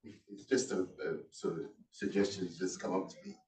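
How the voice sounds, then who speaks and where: A man's voice: sits low, normal speed, darkish tone. A man from Ghana in the audience offering a musing suggestion. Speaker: audience member. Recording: distant, echoey room.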